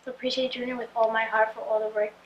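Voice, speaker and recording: A woman who acts in the film, speaking softly and warmly about the director. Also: on the high side, level tone, quick pace. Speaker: actress in the film. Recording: roomy, dull, faint noise.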